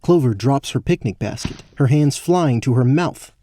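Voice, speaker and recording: A man's voice: medium pitch, expressive, moderate pace, dark tone, no room sound, clean studio sound. A man giving scripted narration for a fantasy tabletop-style audio story. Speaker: narrator, game master.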